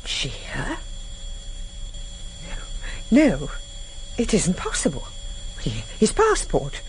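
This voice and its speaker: An actress playing a shocked, defiant wife in a radio drama, challenging and disbelieving. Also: female voice, medium pitch, wildly swooping pitch, extremely slow, heavily acted, rough recording. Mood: defiant disbelief. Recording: vintage radio recording, faint background noise.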